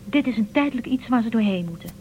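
Casting: actress as worried crewwoman, brisk, highish voice, radio-drama delivery, dull hummy recording. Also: lively intonation, a little hiss or hum, natural voice. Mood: anxious. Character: anxious, brisk female spaceship crew member. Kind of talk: Dutch radio drama acting.

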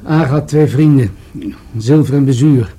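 An actor in a Dutch radio drama playing a calm, probing police detective with an understated way of questioning. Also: male voice, medium pitch, unhurried, husky.

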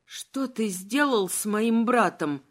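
A young fantasy heroine, played by a woman narrator, distraught and defiant. Her lines come out sharp and emotional, pitched a little above the villain's, in audiobook style. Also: expressive, slow.

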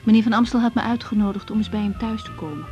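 An actress playing a young woman doctor in a naturalistic radio drama, frank and reflective, speaking in a measured, intimate, sometimes hesitant way. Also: medium pitch, muffled recording, soft background music, lightly acted. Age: young adult. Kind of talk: naturalistic Dutch radio drama acting with diary monologue.

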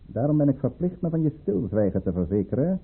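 A man's voice: mid-range voice, big pitch swings, quick pace, slightly rough, heavily acted. A man acting in a Dutch radio drama as a scheming governor at the tsarist court, speaking in a formal, coldly commanding way. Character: scheming, menacing male governor and aristocratic lover at tsarist court. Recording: muffled, tinny old radio play recording.

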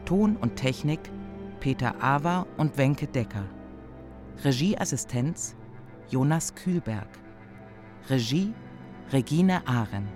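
Slow, measured male announcer over music. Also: mid-range voice, animated, a little mellow. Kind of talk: scripted radio drama credits announcement.

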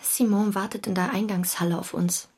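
An actress playing a gentle, concerned young woman in an audio drama, with a very husky, breathy voice. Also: medium pitch, fairly even intonation, a little fast, natural voice. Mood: soft, concerned.

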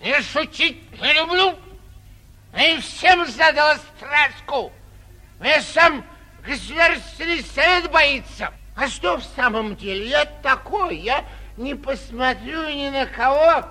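A man's voice: very high-pitched, animated, sharp tone, soft background music, heavily acted. An actor playing a boastful, tipsy young man who gets carried away, in theatrical 1940s radio drama style. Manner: drawn-out bragging with sudden swells and drops.

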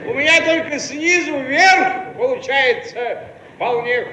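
An echoey, noisy archive radio recording of a smug painter. He speaks in a very high male voice with mocking, theatrical delivery. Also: slow pace, bright, forceful tone, full character voice. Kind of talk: theatrical radio play acting.

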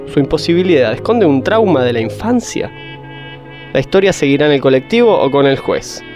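A man's voice: fairly high pitch, sing-song, a little fast, music in the background. Mock-solemn Argentine radio narrator played by a man. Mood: mock-solemn, suspenseful. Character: mock-solemn male serial narrator posing cliffhanger questions. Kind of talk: melodramatic radio serial narration. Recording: narration over musical score.

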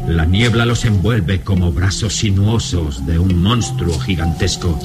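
A man's voice: sits low, a little slow, over music. A man narrating a radio serial in a suspenseful, theatrical style.

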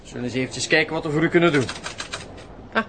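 A man's voice: a little high, fast talker, lightly acted. Courteous Flemish hotel receptionist played by a man, noisy backdrop. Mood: polite, procedural. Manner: courteous, chatty. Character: helpful, chatty, polite male hotel receptionist. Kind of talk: audio drama acting. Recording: clearly noisy ambient backdrop.

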